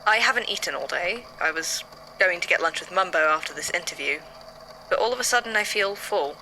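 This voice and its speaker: A woman's voice: fairly low pitch, expressive, fairly quick, pushed and bright, a little hiss or hum, rough recording. A woman playing an inquisitive, slightly harried male archivist who muses over case notes conversationally in an audio drama. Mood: puzzled. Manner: conversational, musing, hesitant.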